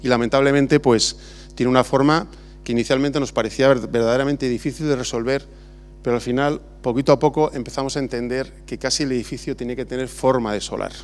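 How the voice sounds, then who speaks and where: A man's voice: medium pitch, fairly even intonation, quick pace. A middle-aged man from Spain, an architect, talking informally at an awards ceremony with many restarts and fillers. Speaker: architect giving an award acceptance talk. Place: large exhibition hall.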